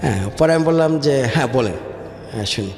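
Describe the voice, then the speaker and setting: A man's voice: mid-range voice, expressive, a little fast, a little mellow. A man with a Bangladeshi accent recounts his life story in an interview, dropping in English words and checking that the listener follows. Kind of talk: personal storytelling in a radio interview. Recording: echoey reverberant room with music behind. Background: background music.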